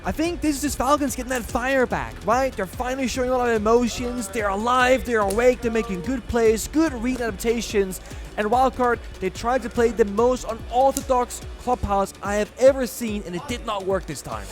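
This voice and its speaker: A man from Denmark, a former player, giving relaxed, analytical color commentary on an esports match, chuckling along the way. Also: very high-pitched, moderate pace, faint music behind.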